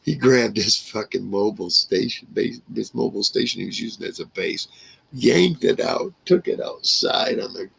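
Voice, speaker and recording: Deep, gravelly older man rambling. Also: expressive, normal speed, fairly bright tone, poor audio. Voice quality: gravelly, husky. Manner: rambling, salty storytelling. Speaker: vlogger. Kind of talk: casual reminiscing monologue to camera. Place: small wood-paneled room indoors.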